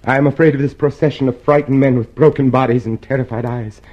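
An actor playing a weary, fearful political prisoner in a radio drama, subdued and terse. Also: male voice, medium pitch, steady tone, quick pace, a little mellow, muffled recording, natural voice. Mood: afraid. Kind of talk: old radio drama acting.

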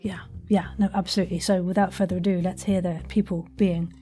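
A woman's voice: fairly low pitch, level tone, brisk, dark tone, faint music behind. A woman hosting a casual interview, effusive and wordy in her phrasing. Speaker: podcast host, interviewer.

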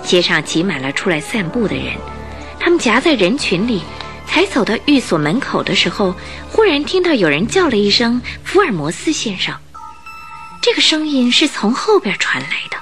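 A woman narrating a Mandarin radio drama as an expressive, scripted storyteller. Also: medium pitch, normal speed. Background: music.

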